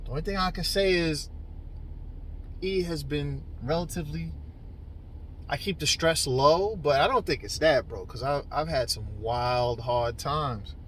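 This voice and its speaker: A man in his early forties with a slightly high voice talks casually to camera. His delivery is slangy and joking, like an off-the-cuff video blog. There is a light rumble of car-like noise behind him. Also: unhurried, a bit bright, low-quality recording. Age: early 40s. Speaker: video blogger.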